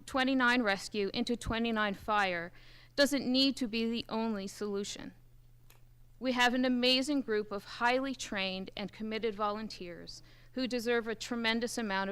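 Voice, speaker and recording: Clear, higher-voiced woman reading a formal statement. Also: fairly bright tone. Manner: formal, measured, deliberate emphasis. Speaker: first aid squad representative. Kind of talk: reading a prepared public statement. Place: public township committee meeting.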